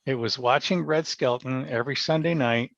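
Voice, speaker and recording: A man's voice: mid-range voice, normal speed, gravelly, fairly bright tone, no echo. An older American man chatting casually and telling anecdotes as a guest in an interview. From small town in New York state, United States. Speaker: podcast guest, actor. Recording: dull, dry, lo-fi sound.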